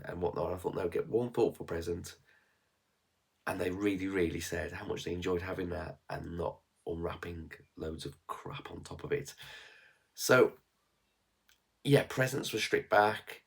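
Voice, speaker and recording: A man's voice: low voice, vocal fry, slightly rough. A man in his thirties with a West Midlands accent, stuffed up and nasal from a cold, chatting frankly and rambling a bit in a casual vlog. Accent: West Midlands English. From England. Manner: rambling, frank, with fillers and restarts. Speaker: frugal living vlogger.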